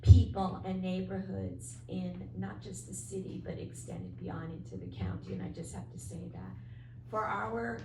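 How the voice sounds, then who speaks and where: A woman with a soft voice representing her neighborhood, speaking heartfelt words at a public meeting. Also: lowish voice, animated, moderate pace. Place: meeting hall. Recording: echoey hall from far off, noisy.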